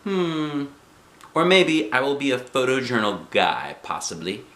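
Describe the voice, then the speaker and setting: A man voicing an excitable, boastful first-grade girl telling her journal in a dramatic, earnest, childlike way from a storybook. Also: medium pitch, measured pace. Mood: proud, musing. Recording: roomy home recording.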